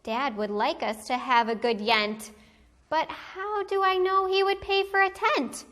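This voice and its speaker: High-pitched woman reading slowly to children. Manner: animated, deliberate storytelling for young children. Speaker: kindergarten teacher reading a bedtime story. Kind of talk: expressive read-aloud of a children's picture book.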